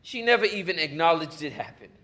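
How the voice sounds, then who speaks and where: A man's voice: fairly high pitch, lively intonation, fast, pushed and bright. A man playing a wounded young man. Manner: earnest, pained. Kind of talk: stage play acting.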